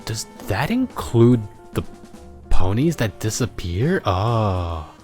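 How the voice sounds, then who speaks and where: A man reading fan fiction aloud in a casual, expressive way, breaking off to comment and swear. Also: on the low side, slow, faint music behind. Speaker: fanfiction reader. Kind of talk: casual expressive audiobook-style reading with reactions.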